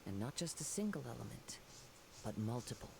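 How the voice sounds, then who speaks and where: An actor playing a calm, poetic young wandering samurai in a video game, speaking formally and courteously in a measured way. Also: male voice, mid-range voice, creaky, noisy background. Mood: musing, composed. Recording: game music behind the voice.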